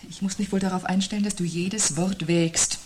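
An actress playing a sardonic, sceptical wife in a 1970s radio drama, speaking with dry, pointed irony. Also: female voice, lowish voice, fairly even intonation, moderate pace, background noise, natural voice. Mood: cool, pointed. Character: sardonic, sceptical wife parrying her husband's unease.

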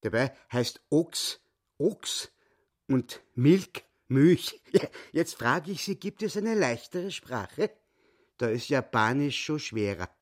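Cocky, animated little Viennese hustler. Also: male voice, mid-range voice, slow pace, no echo, studio quality. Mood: smug. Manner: boastful, self-important, excitable. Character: cocky little hustler, boastful would-be world champion. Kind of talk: radio play acting.

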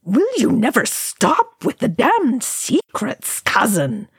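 A woman voicing a shaken, agitated older male dwarf with a hoarse voice in a fantasy story. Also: medium pitch, expressive, slow pace, dry recording, studio quality, voice pushed up.

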